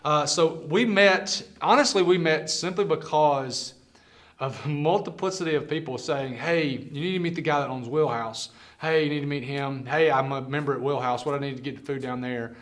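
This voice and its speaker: A man's voice: fairly high pitch, normal speed, fairly bright tone. A man hosting a casual interview, talking in an effusive, chatty and informal way. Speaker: interview host.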